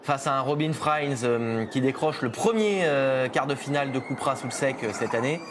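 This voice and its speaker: A man's voice: mid-range voice, normal speed, noisy background. Chatty male race commentator. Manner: chatty, hesitant, many fillers and restarts. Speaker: motorsport commentator tied to a team.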